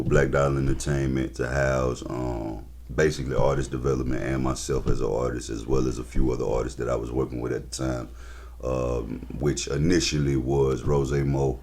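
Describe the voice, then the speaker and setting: Man, thirties, very deep voice, laid-back slang, interview guest. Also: darkish tone. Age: 30s. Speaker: record label owner and rapper guest. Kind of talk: casual interview chat.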